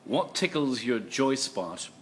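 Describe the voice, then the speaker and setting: A man of ordinary middle pitch poses playful interview questions in short, punchy phrases. His delivery stays upbeat and lightly showy throughout. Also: big pitch swings, slow pace, fairly bright tone, slight background noise.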